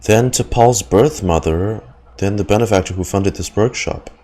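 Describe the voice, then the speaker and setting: A man's voice: fairly low pitch, a little slow, mellow. A man giving casual reaction commentary as a game streamer, musing and speculating aloud.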